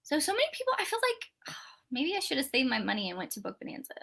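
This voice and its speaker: A woman's voice: high voice, huge pitch swings, fast talker, vocal fry, pushed and bright. A woman co-hosting a live book readalong show, chatting casually and animatedly with a friend. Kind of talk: casual chat between friends.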